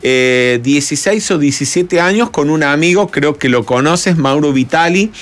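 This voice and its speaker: A man from Argentina with an Argentine accent, speaking as a radio interview guest in a measured, explanatory way. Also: fairly high pitch, lively intonation. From Córdoba, Argentina. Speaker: guest, emotional intelligence expert and speaker. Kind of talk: radio interview, recounting own path.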